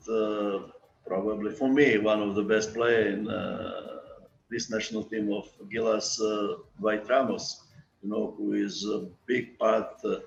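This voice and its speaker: An older man from Serbia with a Serbian accent, a basketball coach answering interview questions in halting English full of "you know". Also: lowish voice, vocal fry, reverberant, dull audio. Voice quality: halting, filler-heavy non-native English, repeats "you know". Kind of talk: video interview.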